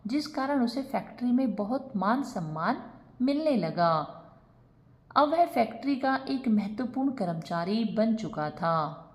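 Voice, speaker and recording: A woman's voice: medium pitch, a little mellow. A woman narrating a scripted moral family story as a storyteller.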